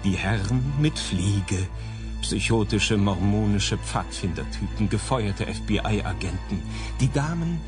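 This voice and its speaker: A man's voice: fairly low pitch, expressive, takes it slowly, music in the background. A man playing a wry, ironic narrator addressing listeners in a radio play. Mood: dry irony.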